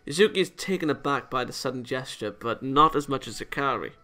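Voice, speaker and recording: A man's voice: mid-range voice, animated, fairly quick, bright, forceful tone, soft background music. A man hosting a visual novel let's play, reading aloud and breaking into rambling, emphatic, opinionated commentary. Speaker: gaming video host.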